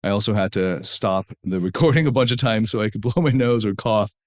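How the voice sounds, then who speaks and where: A man hosting a podcast alone, recovering from a head cold that lowers his voice, talking in an earnest, preachy way in long run-on lists, in an echoey room while traveling. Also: fairly quick. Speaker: podcast host. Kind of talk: solo podcast monologue.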